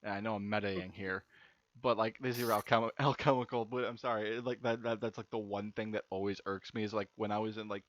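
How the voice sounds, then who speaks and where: A man's voice: fairly low pitch, steady tone, fast talker, few pauses. Young man, casual gamer, slightly dull mic. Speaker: gaming video host. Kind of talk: casual let's-play commentary.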